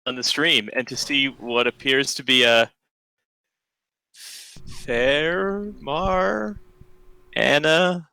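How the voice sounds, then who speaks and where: A man's voice: medium pitch, takes it slowly, creaky, long pauses, bright, forceful tone, smooth, low-quality recording. A man from Canada with a general North American accent, a podcast guest in casual chat, rambling and hesitant with lots of fillers.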